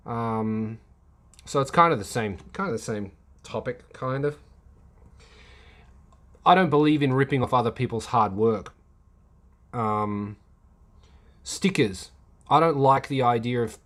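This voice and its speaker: A man hosting a casual livestream, rambling and answering chat comments, with many fillers and restarts. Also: mid-range voice. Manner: reads and replies to chat comments.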